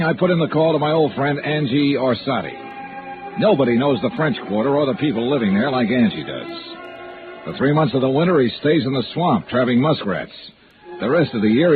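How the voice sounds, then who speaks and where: A man performs a crisp, wry radio detective narrating his own case. His mid-pitched voice moves up and down with plenty of swing. It comes through a thin vintage broadcast sound. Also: narrow, tinny audio, lightly acted. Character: brisk, wry freelance insurance investigator, male. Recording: thin band-limited old radio recording.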